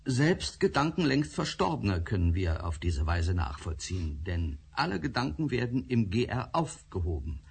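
A husky, formal professor. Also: male voice, on the low side, very animated, normal speed, few pauses, full character voice. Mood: formal, emphatic. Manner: formal and emphatic. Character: male professor, discoverer of the thought space. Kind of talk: radio drama acting.

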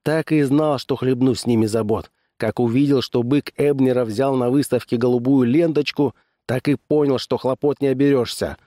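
A man's voice: medium pitch, steady tone, normal speed, dark tone, no echo, studio quality, pitched above the performer's own voice, lightly acted. A man voicing a stern, exasperated small-town constable who barks orders in an audiobook story. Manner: barking, stern, weary of troublemakers. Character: gruff, exasperated small-town male constable laying down the law.

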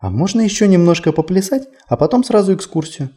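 A woman's voice: on the low side, big pitch swings, fast talker, warm tone, pitched above the performer's own voice. A man voicing a cheerful, playful young woman who is new in town, with eager, childlike questions.

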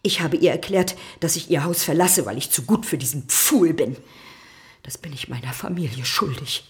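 An actress playing a scheming, venomous spinster cousin in a radio drama, dramatic and falsely virtuous, sometimes dropping into a hiss. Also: female voice, sits low, lively intonation, moderate pace. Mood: indignant, scheming. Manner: dramatic, dropping into hissed whispers.

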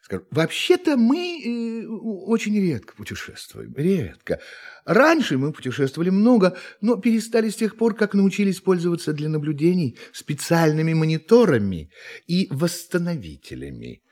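Whimsical high-voiced alien tourist. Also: male voice, very animated, moderate pace, a little mellow. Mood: hesitant, playful. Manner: hesitant, polite, chuckling, musing. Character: whimsical, polite, impulsive male alien tourist from another dimension. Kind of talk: radio play acting.

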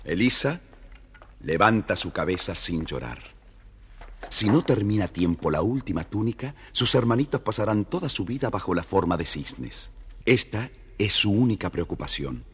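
A man narrating a scripted children's fairy tale as an expressive, dramatic storyteller. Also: on the low side, moderate pace, a little hiss or hum.

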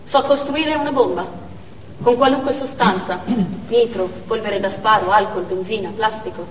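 A woman's voice: mid-range voice, normal speed, close to an everyday voice. Hardened woman militant played by stage actress, theatrical delivery, roomy echo, faint noise. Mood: proud, steely. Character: hardened Chechen woman militant trained for attack. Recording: echoey hall, distant mic, faint noise.

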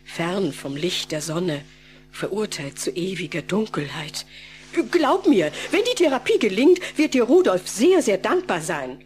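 An actress playing a placating, earnest middle-aged wife in a children's radio play, persuasive and theatrical. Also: female voice, mid-range voice, big pitch swings, measured pace, darkish tone, soft background music.

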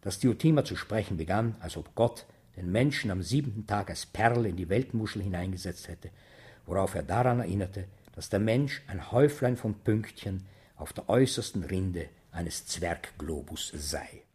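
Low-voiced man, markedly raspy, for a literary recitation. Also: animated, normal speed, darkish tone.

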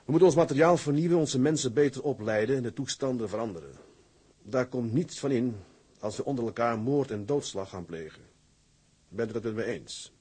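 An actor playing a proud, resolute sea-tug captain in a Dutch radio play, with forceful, measured delivery. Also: male voice, medium pitch, husky, natural voice. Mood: determined, bitter.

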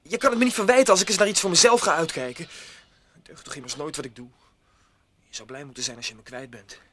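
A man's voice: on the high side, quick pace, a crowd in the background, lightly acted. A man acting in a Dutch radio play as a put-upon, stubborn figure, aggrieved and straining for independence.

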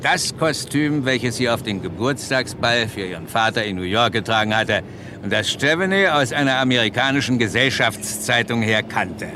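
A sly, self-assured detective inspector played by a male actor from an old radio crime play. His mid-pitched voice grumbles and blurs some words. The 1960s recording has clear background noise. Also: expressive, moderate pace, lightly acted. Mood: explaining, self-assured. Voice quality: grumbling, sometimes indistinct. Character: gruff English police detective inspector, shrewd, hearty eater.

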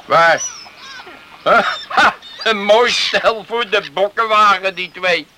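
An actor playing a grumbling, bossy older farmer in a Dutch radio play, blustering and scornful. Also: male voice, high-pitched, slow pace. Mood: gruff, scornful. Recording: noisy old radio play recording.

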